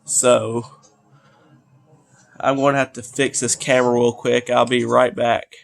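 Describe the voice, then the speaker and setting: A man's voice: mid-range voice, flat delivery, unhurried, vocal fry, soft background music. A teenage man, congested from allergies, rambling with many fillers while commenting on a racing game. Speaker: gaming video creator.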